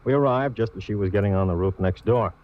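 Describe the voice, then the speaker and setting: A man's voice: lowish voice, fast, lightly acted. A man acting an exasperated straight-man police detective in a vintage radio mystery. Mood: matter-of-fact. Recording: dull, noisy old radio recording.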